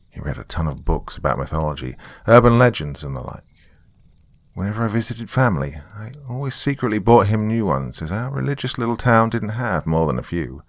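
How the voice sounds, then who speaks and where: A man narrating a scripted first-person story in a measured, confiding way. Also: low voice, vocal fry, dry recording.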